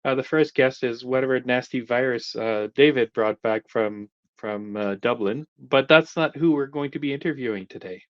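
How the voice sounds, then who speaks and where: A man's voice: mid-range voice, moderate pace, no room sound. A man co-hosting a show, joking, with many filler "uh"s. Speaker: co-host. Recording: dry, dull lo-fi audio.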